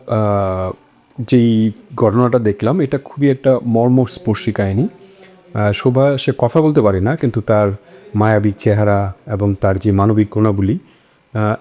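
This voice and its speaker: A man hosting a casual discussion, introducing topics in a hesitant, halting way. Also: fairly low pitch, dark tone. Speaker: host.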